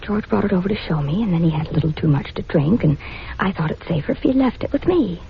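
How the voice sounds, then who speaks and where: A woman's voice: lowish voice, expressive, fast talker. An actress playing a fretful young engaged woman in a 1940s radio melodrama, breathless, hesitant and imploring. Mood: anxious confiding. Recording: muffled, noisy 1940s radio recording.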